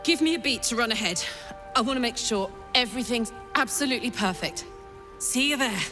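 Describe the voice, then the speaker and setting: An actress voicing an eager, playful young adventurer on a date in a video game. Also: female voice, on the high side, expressive, brisk, music in the background, lightly acted. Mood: excited. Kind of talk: dramatic video game acting.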